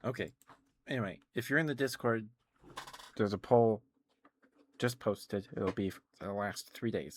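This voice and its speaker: A man with a fairly low voice talks as a streamer during a casual ASMR session. He rambles informally, thinking out loud with hesitant, halting phrasing.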